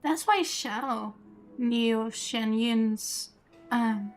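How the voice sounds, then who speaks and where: A woman streams a story game and reacts casually as it plays. Her voice sits a little high, with faint music running behind her commentary. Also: drawn-out words.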